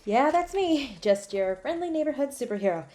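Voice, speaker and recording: A woman's voice: sits high, animated, normal speed, pitched above the performer's own voice. A woman playing a selfless, protective superhero in an intimate audio roleplay, speaking in an earnest, urgent way. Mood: gentle concern. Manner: hushed, earnest, reassuring.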